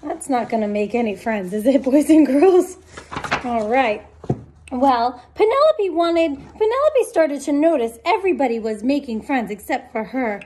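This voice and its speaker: A woman's voice: sits high, big pitch swings, normal speed, darkish tone, slight background noise. A woman in her thirties reading a picture book aloud expressively to children, speaking to them directly. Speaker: children's story time reader. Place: small room indoors at home.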